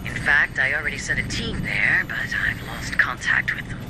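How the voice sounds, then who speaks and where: Man playing a calculating corporate director, higher-pitched, noisy. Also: expressive, natural voice. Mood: measured, grave. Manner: measured, formal, coolly condescending. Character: smooth, calculating, formal male corporate director. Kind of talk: video game voice acting.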